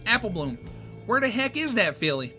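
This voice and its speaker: Male reader doing an earnest country filly, high and bright. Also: sing-song, normal speed, faint music behind, exaggerated voice. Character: young farm filly pony, earnest and worried.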